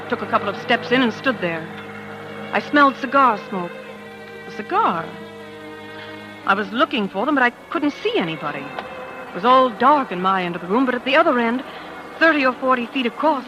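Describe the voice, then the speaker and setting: An actress playing a shaken young wife who narrates a murder discovery in a hushed, dramatic 1940s radio style. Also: female voice, a little high, animated, normal speed, long pauses, lo-fi sound, lightly acted. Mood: haunted, tense. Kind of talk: 1940s radio acting, first-person suspense narration. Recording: old radio recording with music underneath.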